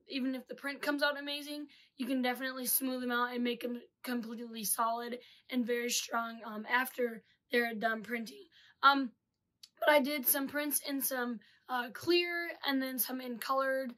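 A young woman with a slightly hoarse voice chatting casually through a product review, full of ums and uhs, recorded on a studio mic in a small room. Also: sits high, bright, forceful tone. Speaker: 3D printing reviewer. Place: small indoor room with 3D printers. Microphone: studio mic with pop filter.

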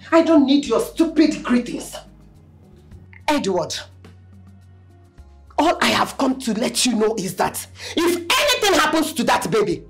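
An actress playing a mother-in-law in a melodrama, soothing in soft pleas and scolding sharply. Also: sits high, exaggerated voice. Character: older mother-in-law, pleading then fierce.

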